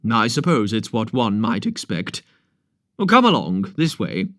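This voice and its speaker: A man reading aloud, voicing a brisk, bossy village doctor who talks in a loud, brusque, blustering way. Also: lowish voice, wildly swooping pitch, no echo, studio quality, pitched below the performer's own voice, full character voice.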